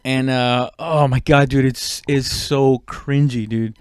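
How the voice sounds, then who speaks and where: A man's voice: mid-range voice, fairly even intonation, slow, clean tone, no room sound, studio quality. A man giving a casual, rambling comedic monologue, self-deprecating and swearing freely. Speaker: comedian and podcast host.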